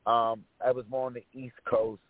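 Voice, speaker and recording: Raspy man telling his story down a phone line. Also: medium pitch, moderate pace, no room sound. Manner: relaxed storytelling with many fillers. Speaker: rapper guest. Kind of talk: casual interview chat. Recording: tinny phone-line sound.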